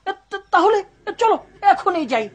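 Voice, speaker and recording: A very high, extremely animated voice for a wily old healer in a cartoon folk tale. The delivery is exaggerated and money-minded, leaping up and down in pitch. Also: female voice, measured pace, muffled recording, heavily acted. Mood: grudging, shrewd. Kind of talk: cartoon dub acting.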